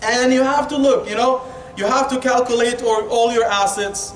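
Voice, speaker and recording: High-pitched Egyptian preacher in an echoey room. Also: male voice, fairly even intonation, normal speed. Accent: Egyptian Arabic. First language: Arabic. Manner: informal, colloquial, rhetorical questions, frequent "you know". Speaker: Islamic preacher giving a Ramadan talk. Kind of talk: conversational sermon with humour. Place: indoor Islamic centre hall. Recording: echoey room, distant mic, faint noise.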